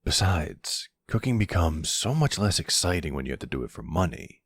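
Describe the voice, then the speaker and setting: Man playing a deep, gravelly flirty mafia boss. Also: big pitch swings, normal speed, no echo, exaggerated voice. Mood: playful teasing.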